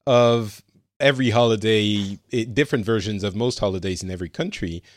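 A man's voice: lowish voice, fairly quick, dry recording, studio quality. A man from France hosting a podcast in casual chat, rambling with many fillers and restarts. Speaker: podcast host.

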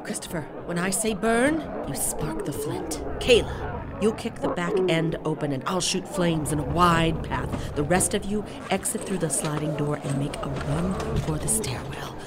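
A woman's voice: low-pitched, moderate pace, heavy background noise, close to an everyday voice. A woman playing a reckless, commanding teacher in a radio drama. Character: gung-ho female teacher with a flamethrower. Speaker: teacher Missus Mead. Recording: radio drama with loud music.

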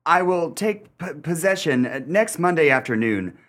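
An actor playing a timid, fussy gentleman in a radio play, stammering and hesitating with formal wording. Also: on the high side, animated, moderate pace. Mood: stiff, hesitant.

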